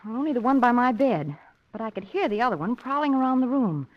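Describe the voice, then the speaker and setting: A dull vintage radio recording of a plucky young society woman. Her voice sits fairly high and moves in lively swoops. She has a wry, flippant way of talking, in old radio drama style. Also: fast talker. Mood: shaken, wry. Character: witty, plucky young society lady, sleuth's companion.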